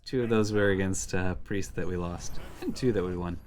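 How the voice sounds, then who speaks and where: A man's voice: deep voice, normal speed. A man giving casual gameplay commentary, thinking aloud as he weighs his options. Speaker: host playing and commenting on a card game.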